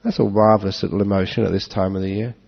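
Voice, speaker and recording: A low, gravelly man gives an easygoing Dharma talk, breaking into jokes and chuckles as he answers the audience. The recording sounds dull and lo-fi. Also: fast talker. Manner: relaxed, joking, chuckles often. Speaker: monk giving a Dharma talk.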